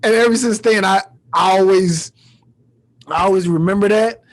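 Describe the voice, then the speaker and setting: High-voiced man hosting a casual chat. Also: normal speed. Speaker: podcast host and comedian.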